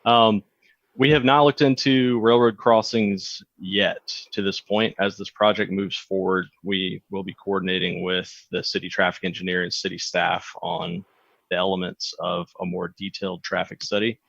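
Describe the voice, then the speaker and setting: A man giving a measured, formal technical answer as a consultant, speaking over a remote call. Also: low voice, flat delivery, vocal fry, fairly bright tone, no echo. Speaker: traffic consultant. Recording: dull, dry remote call audio.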